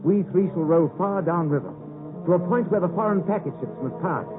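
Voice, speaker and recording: An earnest, loyal young gentleman acted by a man in a 1950s radio drama. His voice sits a little high and his delivery is dramatic. The recording is thin, muffled broadcast audio. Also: brisk, loud music behind the voice, lightly acted. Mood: resolute.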